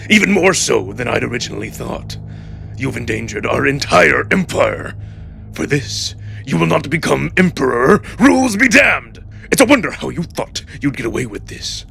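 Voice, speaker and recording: A cold, ruthless wolf ruler, acted out by a man in a dramatic story, speaks in a creaky, forceful growl. He gives imperious orders as an older official used to obedience, swinging widely in pitch over background music. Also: very animated, moderate pace, full character voice. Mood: cold, threatening. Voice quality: gravelly, growling. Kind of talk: dramatic audiobook-style character acting.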